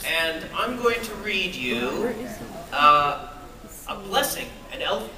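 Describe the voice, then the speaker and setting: High-voiced man in an echoey hall. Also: very animated, takes it slowly, a crowd in the background. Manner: playful and self-mocking, with jokes and laughs. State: jet-lagged. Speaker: author reading at a fan event. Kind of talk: live talk and reading to an audience. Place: convention hall with an audience. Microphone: phone or camera recording from the audience. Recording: echoey hall, voice from far off, noisy.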